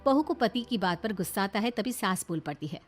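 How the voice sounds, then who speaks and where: A woman's voice: medium pitch, lively intonation, a little fast, over music. A woman reading scripted, dramatic narration for a moral story.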